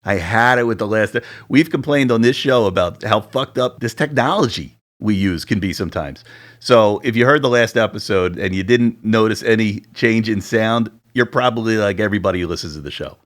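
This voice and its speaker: Guy in his 40s, deep low voice, rambly podcast chat from his home mic. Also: fairly quick, fairly bright tone. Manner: chatty, rambling, self-deprecating about sound gear. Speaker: podcast co-host. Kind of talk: casual chat. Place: home recording setup. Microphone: studio dynamic mic at home.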